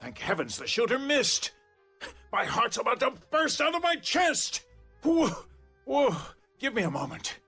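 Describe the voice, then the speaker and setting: Theatrical mystery game gentleman, high bright voice, excitable, music behind. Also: unhurried, breathy edge, exaggerated voice. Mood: alarmed, breathless. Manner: theatrical, exclamatory. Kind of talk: video game character acting.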